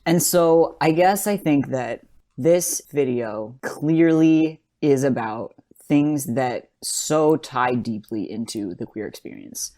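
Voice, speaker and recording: A woman co-hosting a podcast, chatting analytically and casually, choosing words in a measured, thoughtful way. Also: mid-range voice, mellow. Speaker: podcast co-host.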